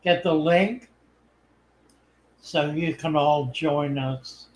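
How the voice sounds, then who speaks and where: An older man hosting a live stream, chatting in a slow, unhurried drawl. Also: fairly high pitch, a little hiss or hum. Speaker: host. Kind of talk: casual live stream chat. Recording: echoey room.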